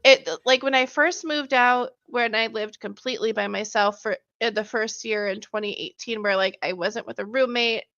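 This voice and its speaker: A woman's voice: a little high, normal speed, few pauses, a bit bright. A woman in her thirties chatting casually and rambling, laughing often as she tells stories. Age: 30s. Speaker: stream host. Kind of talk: casual chat. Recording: muffled lo-fi sound.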